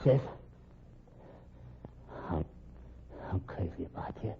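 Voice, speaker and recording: An actor playing a gut-shot, desperate man in an old radio crime drama, out of breath and speaking in halting, pained fragments. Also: deep voice, expressive, heavily acted. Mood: weak, confessing. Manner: halting, broken phrases. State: out of breath, in pain. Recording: muffled, faintly noisy vintage radio.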